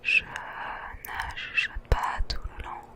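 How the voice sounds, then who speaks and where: A woman softly whispering ASMR-style commentary over a video game, unhurried. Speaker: ASMR gaming YouTuber.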